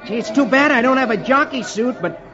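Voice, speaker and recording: Man doing an eager, high-voiced teenage boy. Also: a little fast, music in the background, crowd noise. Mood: nervous, earnest. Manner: excited, earnest, boyish. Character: eager, nervous teenage boys in a horse-race adventure. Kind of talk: old-time radio comic-strip reading. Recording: dull old radio recording.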